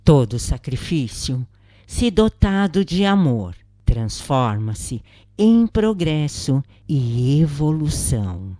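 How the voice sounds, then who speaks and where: A woman's voice: low-pitched, big pitch swings, slow, warm tone, dry recording, clean studio sound. A woman with a Brazilian accent reading a scripted first-person spiritual account as a narrator.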